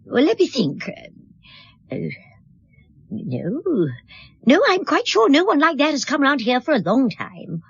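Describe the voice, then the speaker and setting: An actress playing a disapproving, gossipy spinster neighbour in a radio drama, fussy, confiding and hesitant. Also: female voice, high-pitched, very animated, unhurried, pauses often, no echo, lo-fi sound, exaggerated voice.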